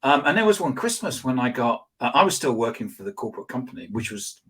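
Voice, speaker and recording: A man being interviewed as a guest expert, telling anecdotes in a rambling, animated way with lots of restarts and fillers. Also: medium pitch, fast talker, husky. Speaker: guest, social selling expert. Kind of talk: conversational interview storytelling.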